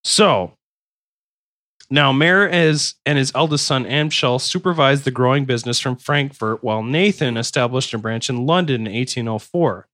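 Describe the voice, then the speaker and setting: A man's voice: mid-range voice, moderate pace, no room sound, clean studio sound. A man hosting a casual podcast, reading history aloud between jokes. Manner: jokey, slips into loud silly voices. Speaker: podcast host.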